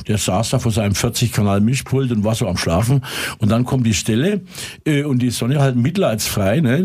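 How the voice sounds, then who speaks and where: Older man, Franconian accent, husky voice, radio interview, rambling storyteller. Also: medium pitch. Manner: lively storyteller with many fillers. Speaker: musician and former pub owner. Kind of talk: casual radio interview talk.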